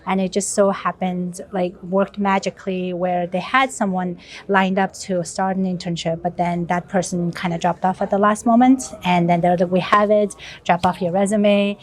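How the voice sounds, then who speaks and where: A woman's voice: on the low side, little pitch movement, moderate pace. A woman in her thirties, a guest on a work podcast, chatting in a friendly, casual way full of "like" and "you know". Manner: friendly, with frequent "like". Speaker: podcast guest, marketing staff. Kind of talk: casual interview chat.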